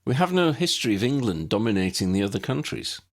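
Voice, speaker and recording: Guy doing a young wizard in an audiobook, earnest explainer, low husky voice. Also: sing-song, fast talker. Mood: earnest, explanatory. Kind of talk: audiobook character dialogue.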